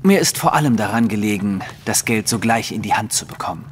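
A man's voice: on the low side, lively intonation, fairly quick, lightly acted. Haughty male aristocrat for audio drama, played by an actor: assured, formal, reverberant hall with faint noise. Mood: businesslike, urgent. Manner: formal, commanding, self-assured. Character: haughty, secretive nobleman of high rank.

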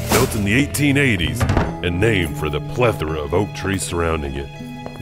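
A man giving scripted narration of eerie local legends. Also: low voice. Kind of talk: scripted spooky documentary narration. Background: loud music.

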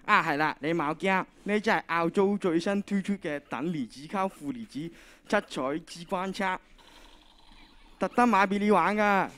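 A man's voice: high voice, normal speed, a bit bright. A teenage boy playing a breezy, talkative middle-aged family friend in a radio drama on stage. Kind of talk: Cantonese radio drama acting. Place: on stage in a school drama competition hall.

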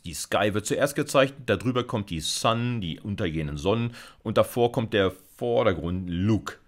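A man's voice: on the low side, lively intonation, moderate pace. A man, explaining like a university lecturer in an informal, conversational way, often checking in with short tags. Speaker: university computer science lecturer.

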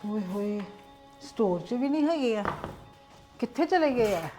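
A woman's voice: a little high, expressive, a little slow, warm tone, faint music behind. A woman playing an aunt anxiously searching the house and calling out for a missing relative. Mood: worried, muttering. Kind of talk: amateur drama acting.

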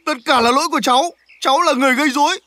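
A woman dubbing an excitable, grumpy animal uncle who farms, in a children's cartoon, with a blustering, exclamatory delivery. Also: high voice, very fast, no echo. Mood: cross, blaming.

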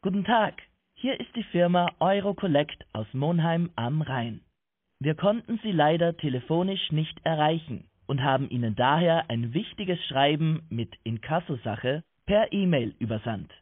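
A man reads a scripted automated announcement over a narrow phone line. His voice is slightly high for a man. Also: expressive, normal speed, dry recording. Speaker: automated collection agency announcer.

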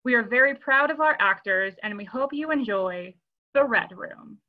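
A woman's voice: fairly high pitch, expressive, normal speed, narrow, tinny audio. A woman giving a scripted welcome introduction as a theater presenter. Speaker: theater education director, presenter.

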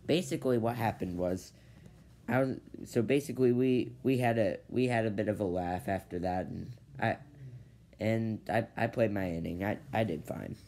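A man's voice: on the low side, moderate pace, warm tone, slight background noise. A teenage boy telling a story casually to his camera in his bedroom, rambling and restarting with lots of "basically". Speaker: vlogger. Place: bedroom with ceiling fan, indoors. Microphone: device camera at low angle.

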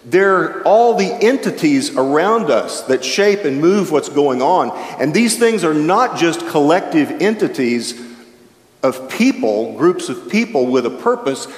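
A man's voice: fairly high pitch, unhurried. An older man giving a reflective chapel sermon at a lectern, gesturing widely as he talks. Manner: reflective, animated with wide gestures, shifting pace. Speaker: chapel speaker. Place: large chapel with an audience. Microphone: stand microphone at a lectern. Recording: echoey chapel heard from far off.

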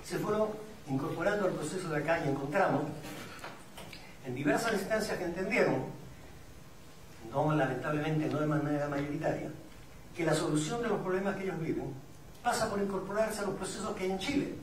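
High-voiced Peruvian man, echoey room. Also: fairly quick, a crowd in the background. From Peru. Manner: reflective and didactic, poses rhetorical questions. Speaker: migrant community representative speaking on a panel. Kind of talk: public talk at a discussion forum. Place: indoor event room at a public forum. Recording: echoey room, distant mic, audience sounds.

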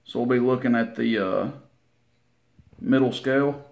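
A man's voice: mid-range voice, gravelly, darkish tone. Folksy man, casual demo. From Tennessee, United States. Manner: folksy, unhurried, informal. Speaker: CB radio hobbyist presenting his equipment. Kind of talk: casual hands-on demo narration. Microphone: handheld camera mic, operator behind it.

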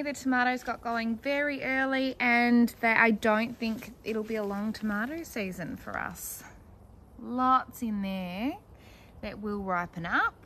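A woman's voice: medium pitch, fairly bright tone, slight background noise. A woman in her thirties with an Australian accent, chatting casually with fillers and small laughs as she walks through her backyard vegetable garden, filming on a handheld phone. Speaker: gardening vlogger.

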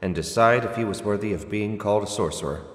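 A man's voice: on the low side, quick pace, roomy sound, long reverb tail. A man reading scripted fantasy audiobook narration as the storyteller.

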